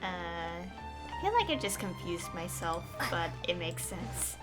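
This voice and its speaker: A woman's voice: fairly low pitch, sing-song, slow pace. A woman doing casual live game commentary, rambling and hesitant as she thinks aloud. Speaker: game streamer. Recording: faint game music behind. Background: game music.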